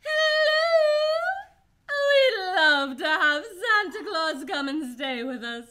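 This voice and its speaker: Casting: giddy drunken wife, extremely high and swooping, very slow slurred gush, radio comedy. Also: female voice, very animated, sharp tone, exaggerated voice. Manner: slurred, drawn-out, effusive. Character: giddy, gushing tipsy society wife. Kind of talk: broad comic radio play acting.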